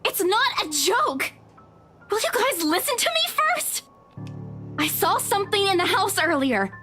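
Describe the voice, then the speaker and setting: A woman's voice: very high-pitched, sing-song, unhurried, sharp tone, exaggerated voice. An actress playing a frightened, defensive young woman in a visual novel, pleading insistently to be believed. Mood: desperate, pleading. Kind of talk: dramatic visual novel voice acting. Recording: music under the dialogue.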